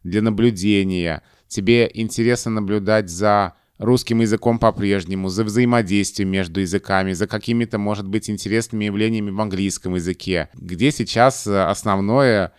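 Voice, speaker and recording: Male host, low resonant voice, dry close mic, deliberate questions. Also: fairly even intonation, smooth, no echo. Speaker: interviewer. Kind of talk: conversational interview questions.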